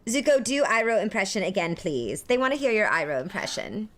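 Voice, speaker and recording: A woman's voice: medium pitch, very animated, brisk, fairly bright tone. A woman playing a haughty, teasing young princess who needles and mocks in improvised comedic banter. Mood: playful, bossy. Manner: condescending, mocking.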